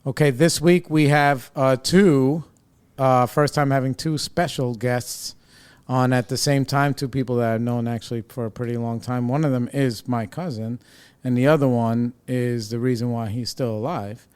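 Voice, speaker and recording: A man's voice: mid-range voice, fairly even intonation, normal speed, darkish tone, clean tone. A man hosting a podcast, chatting casually and laughing easily with his guests. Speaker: podcast host. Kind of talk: casual interview chat.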